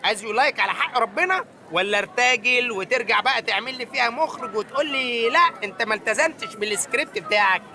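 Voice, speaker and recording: Super high pitched egyptian cartoon hero, cocky n naive, talks real fast and slangy. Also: male voice, lively intonation, sharp tone, slight background noise, heavily acted. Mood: cheeky, confident. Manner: cheeky, slangy, bantering. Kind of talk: comic cartoon dialogue.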